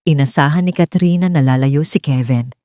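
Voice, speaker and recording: A woman's voice: low-pitched, moderate pace, over music. A woman with a Filipino accent narrating a scripted romance story in a dramatic style.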